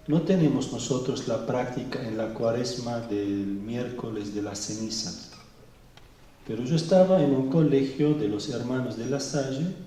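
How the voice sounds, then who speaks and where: A middle-aged man from Venezuela speaking slowly and thoughtfully at a public talk, pausing often. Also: mid-range voice, dark tone. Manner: slow and thoughtful, with pauses and fillers. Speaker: Orthodox bishop giving a talk. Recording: echoey hall, mic far off.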